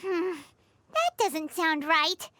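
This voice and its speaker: A woman voicing a tiny, childlike female pixie sidekick in a video game, nosy, suspicious and excitable, with a squeaky voice. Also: very slow, heavy background noise, exaggerated voice. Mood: surprised, doubtful. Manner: squeaky, excitable, refers to self in third person.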